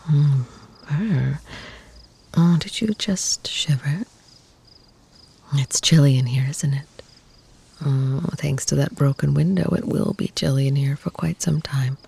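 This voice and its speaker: A woman playing a protective vampire lover in an ASMR roleplay, soft and breathy, often whispering, with formal, measured wording. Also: a bass voice, exaggerated voice. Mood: concerned, tender. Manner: slow, soothing, doting, formal wording.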